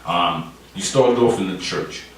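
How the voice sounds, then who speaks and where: Deep-voiced male radio host, echoey. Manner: halting, repeats his words. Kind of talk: interviewing a guest. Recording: echoey, distant, faint noise.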